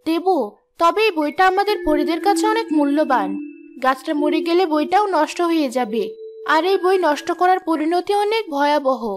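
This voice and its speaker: Woman voicing a high, gentle, kindly fairy. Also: lively intonation, normal speed, soft background music. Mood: solemn, instructive. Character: kindly female knowledge fairy, helpful and solemn. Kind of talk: cartoon voice acting.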